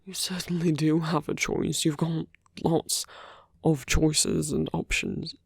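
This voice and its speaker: A performer playing a suave male villain in a romantic ASMR roleplay, speaking in hushed, deliberate phrases with pauses. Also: mid-range voice, flat delivery, creaky, breathy edge, no room sound, studio quality, heavily acted. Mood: urgent, pleading. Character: suave male villain urging a captured hero to flee.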